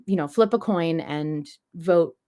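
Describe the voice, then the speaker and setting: A woman with a slightly low voice hosts a casual podcast. She explains things in a chatty, conversational flow, leaning on words for emphasis. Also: unhurried, mellow. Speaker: podcast host.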